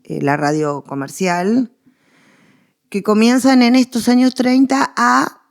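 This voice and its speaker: A woman's voice: a little high, fairly even intonation, slow pace, vocal fry. An Argentine woman speaking as a guest expert in a conversational interview, explaining history with emphatic, repeated phrasing.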